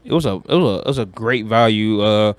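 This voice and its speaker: A man's voice: on the low side, fast, dry recording. A man from Detroit with an American accent, chatting casually and slangily about movies as a podcast co-host, in a joking storyteller's manner. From Detroit, United States.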